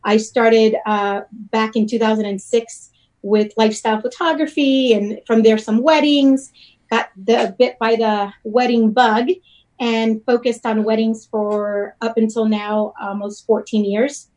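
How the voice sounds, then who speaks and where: Higher-voiced woman from Puerto Rico, chatting. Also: flat delivery, a little slow, darkish tone. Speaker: interview guest, wedding photographer and blogger. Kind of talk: casual explanatory interview talk.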